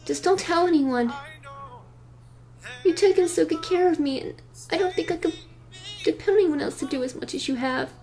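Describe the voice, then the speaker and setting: Woman acting a high-pitched, pleading young heroine. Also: normal speed, long pauses, mellow. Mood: earnest, tender. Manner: pleading, emotional. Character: injured, pleading, lovesick young superhero girl, earnest and stubborn. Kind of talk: fan audio drama acting.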